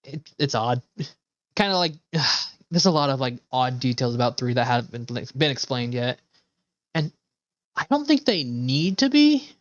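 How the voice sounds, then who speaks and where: A man's voice: medium pitch, big pitch swings, a little slow, a bit bright, no room sound, muffled recording. A man streaming a game, chatting casually and thinking aloud in a rambling, hesitant way. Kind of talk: casual livestream chat while playing.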